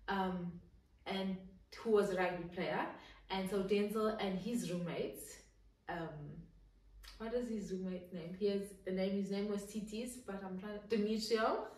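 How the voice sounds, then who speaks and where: A woman in her twenties answering viewer questions in a chatty, giggly vlog with lots of fillers. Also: medium pitch. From South Africa. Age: 20s. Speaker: vlogger. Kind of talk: casual Q and A vlog. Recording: echoey room, distant mic.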